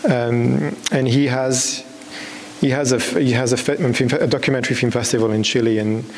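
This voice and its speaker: A man in his thirties speaks as a filmmaker at a public talk, feeling his way through sentences and often restarting them. His pitch sits in the middle of the male range. The hall rings with long reverb, and a clear hiss runs underneath. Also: steady tone. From Belgium. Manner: halting, searching for words, with repeated false starts. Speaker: documentary filmmaker. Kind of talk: unscripted answer at a public talk. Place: on stage in a large dark hall with an audience.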